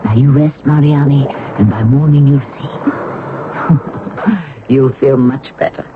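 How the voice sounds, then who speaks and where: A woman's voice: very deep voice, unhurried, phone-quality sound. An actress playing a bold young queen disguised as a stowaway boy in a 1970s radio drama. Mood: confident, reassuring. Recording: band-limited, noisy old radio recording.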